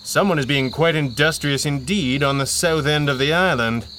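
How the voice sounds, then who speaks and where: Man acting a bright-voiced, suave pulp hero. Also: fairly high pitch, normal speed, a little hiss or hum, lightly acted. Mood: intrigued, analytical. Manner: dry, formal, articulate. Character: suave, unflappable masked crime-fighter, cerebral and gently teasing. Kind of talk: 1930s pulp radio adventure acting.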